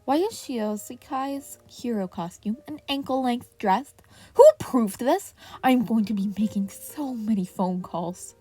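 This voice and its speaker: A woman's voice: a little high, unhurried, faint music behind. A woman voicing a commanding, exacting veteran male hero teacher in a story reading. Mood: seething. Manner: sharp, commanding, seething. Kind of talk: audiobook-style fan fiction character reading.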